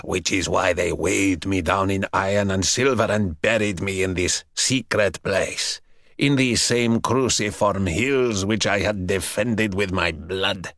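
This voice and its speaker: A man voicing an ancient buried male vampire who speaks in slow, mocking, archaic phrases. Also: deep voice, fairly bright tone, no echo, clean studio sound, pitched below the performer's own voice. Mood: menacing. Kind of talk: audiobook character voice.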